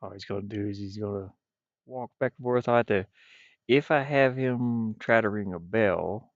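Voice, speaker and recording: A man with a gravelly voice rambles and mutters to himself, thinking aloud casually as he streams his game development work. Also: on the low side, moderate pace, no room sound. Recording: dull, muffled, dry lo-fi microphone.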